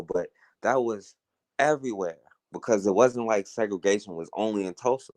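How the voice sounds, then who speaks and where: A man's voice: lowish voice, a little mellow, no echo. A man hosting a talk radio show, talking through a casual, earnest monologue, often saying "you know" and "right?".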